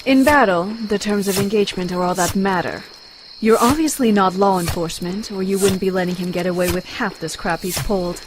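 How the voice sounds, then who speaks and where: A woman's voice: mid-range voice, a little fast, noisy background, natural voice. Woman acting haughty, sardonic warrior woman. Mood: haughty, probing. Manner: haughty, dry, formal. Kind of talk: animated comic adventure acting.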